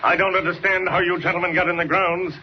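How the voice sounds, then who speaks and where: Actor as a stiff, higher-pitched evasive secretary. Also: male voice, little pitch movement, fast talker, natural voice. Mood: coolly dismissive. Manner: formal, hesitant, aloof. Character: stiff, evasive governor's secretary, coolly polite. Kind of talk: 1940s radio acting. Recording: muffled, faintly noisy old radio recording.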